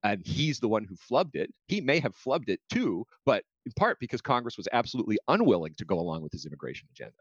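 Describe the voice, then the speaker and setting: A man's voice: mid-range voice, very animated, husky, dry recording. Dry, slightly muffled American man debating politics. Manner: argumentative, halting restarts when rebutting. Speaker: interview guest, political commentator. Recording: slightly dull, lo-fi audio.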